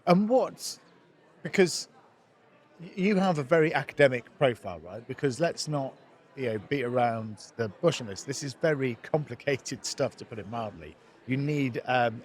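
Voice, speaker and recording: Male podcast host, average-pitched voice, informal conversational questioning. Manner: informal, prompting with tag questions. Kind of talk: conversational interviewing.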